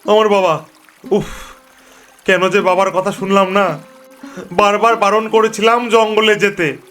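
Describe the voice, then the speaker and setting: A man's voice: high-pitched, fairly even intonation, unhurried, a bit bright, faint music behind. A man voicing a troubled cartoon village fisherman, plaintive and argumentative. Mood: gloomy, worried. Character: troubled village fisherman, by turns gloomy and defiant. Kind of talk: animated cartoon dialogue.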